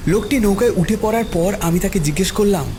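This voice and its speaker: Male story narrator over loud music. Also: high-pitched, quick pace. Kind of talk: dramatic first-person story narration, acting out own dialogue.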